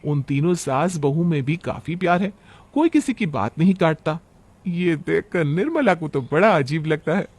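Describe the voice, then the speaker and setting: Male narrator for cartoon family tales, slightly high voice, scripted storytelling delivery. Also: lively intonation, moderate pace.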